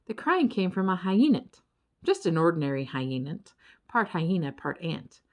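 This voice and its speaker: A woman narrating a children's storybook in an expressive, dramatic storytelling way. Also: on the low side, moderate pace. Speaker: audiobook narrator.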